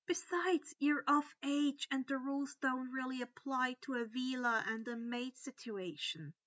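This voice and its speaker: Woman playing a high, emphatic teenage girl. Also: a little slow, fairly bright tone, no echo, dull audio. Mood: soothing. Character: supportive, bossy teenage schoolgirl friend, frank and assured.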